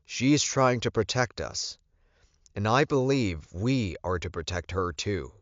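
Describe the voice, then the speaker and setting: A man voicing a commanding, protective werewolf alpha in an audiobook, with a forceful delivery. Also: fairly low pitch, expressive, measured pace, dry recording, muffled recording, voice pushed up. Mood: urgent, commanding. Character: commanding, protective male werewolf alpha, fierce about his mate.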